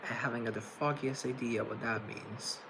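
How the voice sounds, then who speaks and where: A man's voice: medium pitch, fairly even intonation, moderate pace, vocal fry, noisy background. A young man from Colombia hosting a casual livestream, rambling and thinking aloud while he reads viewer comments off a laptop. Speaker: livestream host reading chat. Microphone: laptop mic.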